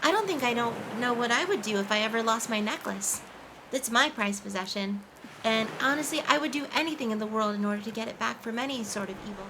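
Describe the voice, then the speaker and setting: A woman playing a sweet, playful mermaid who tells stories to children in an animated, coaxing way. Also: fairly high pitch, quick pace, lightly acted. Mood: storytelling. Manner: animated, coaxing, asks listeners questions. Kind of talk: children's storytelling, addressed to the audience. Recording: faint background ambient noise.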